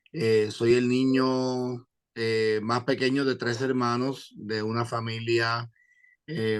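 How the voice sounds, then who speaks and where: Puerto Rican evangelist man, halting. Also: mid-range voice. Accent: Puerto Rican Spanish. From Puerto Rico. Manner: hesitant, many "eh" fillers, quotes scripture. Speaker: evangelist guest. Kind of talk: interview testimony.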